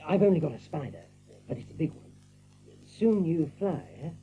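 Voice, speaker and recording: An eager, chummy rabbit in an audiobook drama. His pitch jumps about excitedly, and the sound is thin and narrow with faint noise. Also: normal speed. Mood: coaxing. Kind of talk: audiobook drama character acting. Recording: thin, faintly noisy old recording.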